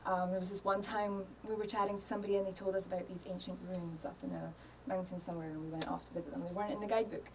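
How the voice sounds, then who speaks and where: Relaxed Scottish woman, a bit off-mic. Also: lowish voice, rapid-fire, hardly any pauses, background noise. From Scotland. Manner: relaxed, laughs easily, hedges with "um" and "yeah". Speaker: podcast guest. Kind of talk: casual interview chat. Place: podcast studio. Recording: voice a little distant from mic.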